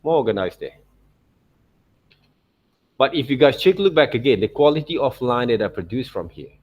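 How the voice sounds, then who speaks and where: A man narrating an informal art software tutorial as a teacher, in loosely phrased non-native English, often checking in with short tag words. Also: medium pitch, animated, normal speed. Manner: repeats check words like okay and right.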